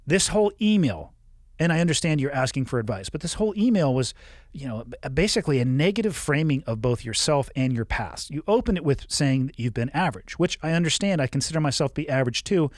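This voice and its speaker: A man's voice: mid-range voice, darkish tone, no echo, clean studio sound. A man in his forties hosting a podcast, reading a listener's email and answering with frank, coaching advice. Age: 40s. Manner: frank, coaching, blunt at times. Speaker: podcast host.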